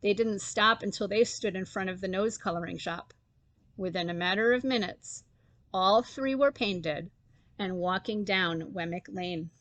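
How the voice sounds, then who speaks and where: A woman storyteller giving an expressive reading of a children's story. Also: mid-range voice, a little slow, no echo.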